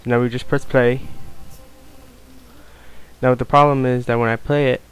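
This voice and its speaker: A man giving a casual, unscripted software tutorial, explaining things informally step by step. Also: mid-range voice, flat delivery, normal speed, clear, resonant tone, rough recording. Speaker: tutorial presenter.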